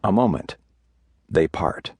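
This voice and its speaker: An actor playing a measured, observant narrator who reads stage directions in a scripted radio drama. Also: male voice, a little mellow, dry recording, natural voice.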